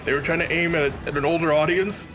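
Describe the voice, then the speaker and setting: A man's voice: fairly high pitch, fairly even intonation, fast. A man giving casual, joking commentary as a let's play host. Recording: game music behind voice.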